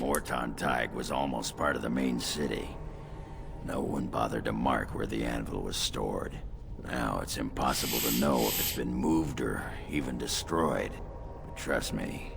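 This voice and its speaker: An actor playing a gruff, coarse dwarf warrior with a gravelly voice in a fantasy video game. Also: male voice, very deep voice, measured pace, over music, heavily acted. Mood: blunt, insistent. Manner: blunt, coarse.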